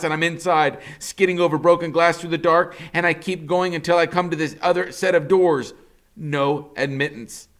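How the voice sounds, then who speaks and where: A man, a teacher, reading a novel aloud with expressive first-person storytelling. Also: on the high side.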